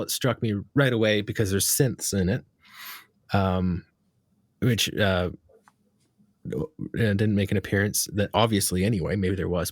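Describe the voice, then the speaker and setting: A man's voice: on the low side, dry recording, clean studio sound. A man hosting a casual interview, asking long, rambling questions with fillers. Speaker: podcast host.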